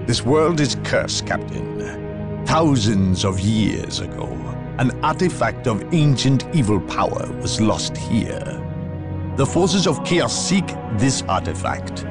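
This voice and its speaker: Haughty, dramatic inquisitor. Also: male voice, mid-range voice, big pitch swings, over loud music. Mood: grave, ominous. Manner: portentous, measured, theatrical. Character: grave, haughty inquisitor, a zealous hunter of evil. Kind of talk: dramatic video game cutscene acting.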